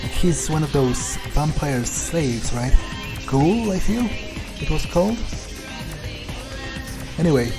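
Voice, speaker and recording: A gamer talks in character while playing, casual and muttering. The delivery is hesitant, like thinking aloud, with game music clearly behind. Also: mid-range voice, big pitch swings, takes it slowly. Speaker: gameplay streamer playing in character. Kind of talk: in-character roleplay commentary.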